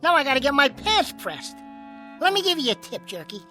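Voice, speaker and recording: A man's voice: very high-pitched, sing-song, fairly quick, bright, forceful tone, soft background music, exaggerated voice. A man voicing a living ventriloquist's dummy, a cocky, menacing wisecracker, in a radio drama. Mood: mocking, menacing. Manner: taunting nightclub-comic patter.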